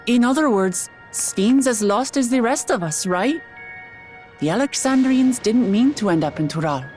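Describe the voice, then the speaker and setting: A woman's voice: a little high, expressive, moderate pace, darkish tone, soft background music, close to an everyday voice. A woman playing an earnest, determined young warrior in a video game, plainspoken and direct. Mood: resolute. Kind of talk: scripted video game cutscene acting.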